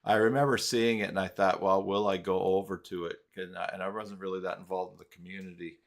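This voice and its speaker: An older retired man hosting a casual video-call interview, relaxed and reading out viewer questions. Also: sits low, fast, gravelly. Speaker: host.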